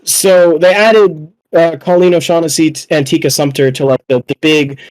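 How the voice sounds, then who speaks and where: A man's voice: a little high, steady tone, normal speed, rough recording. A young man chatting casually as a podcast panelist, slangy, sweary and self-deprecating. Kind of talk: casual fan chat.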